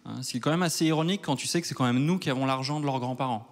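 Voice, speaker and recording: A man in his thirties from Switzerland doing a stand-up set on a large theater stage with a handheld mic. He talks in a wry, self-mocking way and works up to loud mock outrage. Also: medium pitch, racing. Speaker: stand-up comedian. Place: large theater stage before a live audience.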